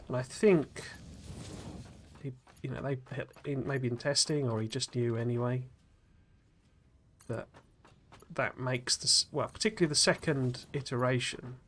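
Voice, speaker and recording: A man chats casually while streaming a game, wandering off into long asides full of fillers and restarts. His voice sits at a medium pitch and carries a slight gruffness from a cold. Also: measured pace, a bit bright, slight background noise. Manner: rambling, chatty, many fillers and restarts. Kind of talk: casual live-stream chat while playing.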